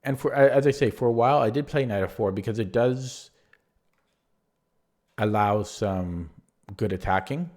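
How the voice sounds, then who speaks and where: A man going over one of his own chess games move by move in a relaxed, rambling way, with a voice that is going hoarse. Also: mid-range voice, normal speed, pauses often. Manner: rambling, many fillers and restarts. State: losing his voice. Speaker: chess player reviewing his own game. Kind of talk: casual spoken chess analysis.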